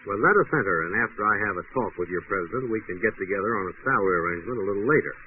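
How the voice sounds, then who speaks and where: An actor playing a wordy, self-assured efficiency expert, formal and pompous, in 1920s radio comedy style. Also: male voice, lowish voice, lively intonation, very fast, lightly acted. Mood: formal, courteous. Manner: pompous, formal, long-winded. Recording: tinny, noisy 1920s radio recording.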